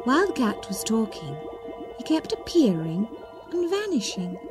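A woman narrating a children's read-along story in an expressive, deliberate storytelling manner. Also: fairly high pitch. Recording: music bed under the voice. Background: music.

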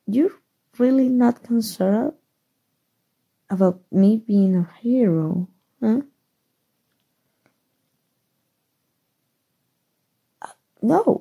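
A woman from Mexico playing a shy, flustered, nerdy gamer superheroine in an ASMR roleplay, soft and halting, with stammers. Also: mid-range voice, heavily acted. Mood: flustered, nervous. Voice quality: breathy, soft.

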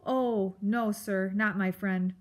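A woman's voice: medium pitch, steady tone, slow pace, lightly acted. A woman voicing a small, polite young girl mouse in a children's storybook, meek and hesitant. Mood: timid.

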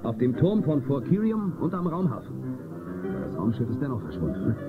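An actor playing a confident, commanding space-western marshal with a firm, authoritative manner in a children's radio play. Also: male voice, medium pitch, huge pitch swings, fairly quick, loud music behind the voice. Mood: serious. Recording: muffled phone-like old cassette sound.

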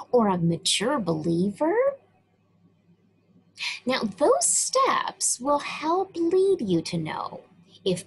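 A woman reading a Bible story aloud to children, talking to them directly in a slow, sing-song way. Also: medium pitch, gravelly, a little hiss or hum, rough recording. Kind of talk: read-aloud story lesson for kids.

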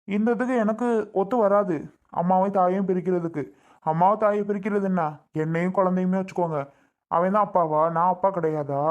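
A man's voice: high voice, highs cut off, lightly acted. A man playing an indignant, protective husband in a family drama, arguing firmly and insistently. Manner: firm, argumentative, insistent. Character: indignant protective husband refusing to give up the child.

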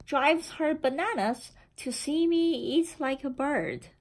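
Woman, high voice, very slow pace, expressive picture-book read-aloud, children's storyteller, deliberate phrasing. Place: indoor room with framed certificates on the wall.

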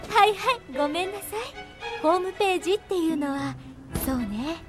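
A woman playing a polite, gentle schoolgirl in an anime. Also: very high voice, lively intonation, brisk. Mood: gently explaining. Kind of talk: Japanese anime acting. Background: background music.

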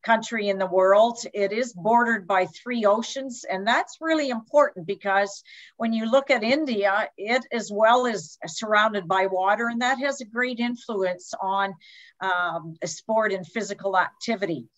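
A woman from Canada delivers a lecture over a video call at a slow, unhurried pace. Her voice sits at a middle pitch, and she often pauses with ums and uhs as she gathers her thoughts. The call audio sounds somewhat muffled. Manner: slow, measured, with frequent hesitations. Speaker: guest lecturer. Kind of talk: online lecture over slides.

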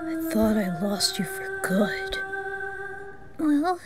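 A woman voicing an earnest, cheerful young boy who dreams out loud. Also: extremely high pitch, big pitch swings, takes it slowly, over loud music, exaggerated voice. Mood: eager.

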